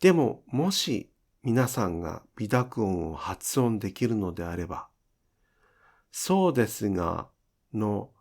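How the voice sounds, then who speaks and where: A man teaching pronunciation in an instructional style, enunciating deliberately and carefully for language learners. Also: lowish voice, a little mellow. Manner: deliberate, careful enunciation with demonstrated example words.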